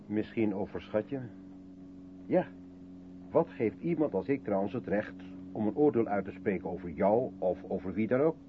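An actor playing a sceptical, probing South African man with dry irony and measured questioning, in a 1970s Dutch radio drama. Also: fairly low pitch, animated, close to an everyday voice. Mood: reserved, thoughtful. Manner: questioning, dryly ironic, measured. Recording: muffled, noisy 1970s radio recording.

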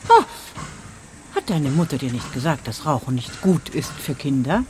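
An actress playing a brisk, down-to-earth foster mother in a radio drama, blunt and casual in manner. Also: deep voice, sing-song, darkish tone, slight background noise. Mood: teasing.

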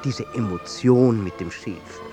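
An actor playing a worldly, teasing older gentleman in a theatrical audio drama, with an ironic manner. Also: on the low side, takes it slowly, over music.

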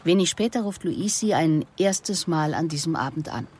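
An actress playing a composed, relentless police investigator in a German radio drama, speaking in a measured, deliberate way. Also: female voice, deep voice, animated, a little mellow, slight background noise. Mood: confronting, insistent. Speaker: interrogator and narrator. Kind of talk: German radio drama acting with introspective narration.

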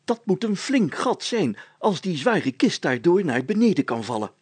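A man's voice: high voice, sing-song, moderate pace, slightly rough, full character voice. A man voicing a pompous, bossy village mayor in a storybook, grandly declaiming like a self-styled hero. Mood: triumphant, commanding. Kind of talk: storybook character voice.

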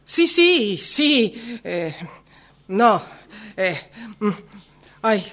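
Radio drama: ageing Argentine mother, played by a woman, clingy, halting, slow delivery with big pitch swings. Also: very animated, a bit bright, heavily acted. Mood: shocked, dismayed. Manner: halting, incredulous. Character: clingy ageing mother, dismayed by grown daughter. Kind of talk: improvised radio theatre workshop exercise.

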